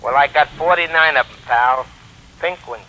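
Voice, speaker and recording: An actor playing a brusque, slangy crook in a 1940s radio drama, curt and menacing. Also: male voice, on the high side, moderate pace, bright, forceful tone, poor audio. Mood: curt, threatening. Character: brusque, slangy underworld crook making ransom demands. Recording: hissy old 1940s radio recording.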